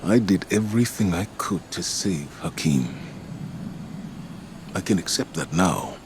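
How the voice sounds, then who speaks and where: An actor playing a weary, grieving middle-aged guardian commander in a video game, speaking in a grave, measured, reflective way. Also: male voice, sits low, expressive, noisy background. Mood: somber reflection. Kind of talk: dramatic video game voice acting. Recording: dramatic music behind the voice.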